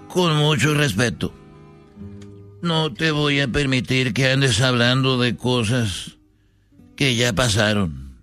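A man plays a stubborn Mexican ranchera star in a comedy sketch. He speaks slowly and haltingly at an ordinary pitch, defending himself as the unrepentant younger brother, with music behind his voice. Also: a bit bright, smooth.

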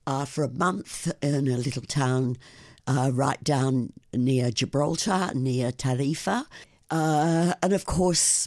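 An older woman, a guest on a radio interview, telling stories about her travels and her blog with warm enthusiasm. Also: very deep voice, no room sound, studio quality. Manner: enthusiastic, animated storyteller. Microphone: studio microphone.

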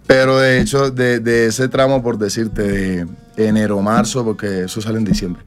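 Colombian guy in his 20s, lowish voice, slangy and rambly, faint music under it. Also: flat delivery, normal speed. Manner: slangy, rambling, full of fillers and restarts. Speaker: singer being interviewed. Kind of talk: casual interview conversation.

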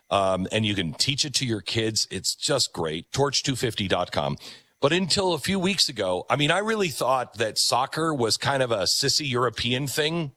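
A man's voice: mid-range voice, sharp tone, no room sound. A man with an American accent hosting a talk show, giving conversational commentary in an emphatic way, repeating phrases for effect. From United States.

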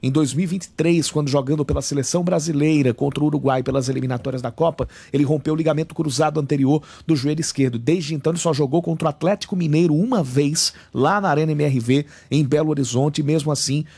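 A man's voice: on the high side, fairly even intonation, fast talker, gravelly, hardly any pauses, darkish tone, husky. A man sports reporter giving an unscripted injury and contract update, correcting himself as he goes. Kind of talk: news report.

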